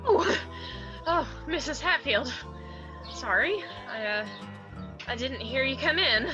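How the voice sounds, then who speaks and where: A woman's voice: high voice, expressive, slow, dull audio, over music. A woman playing an anxious young runaway daughter with a Southern US accent in a radio drama. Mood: timid, apologetic.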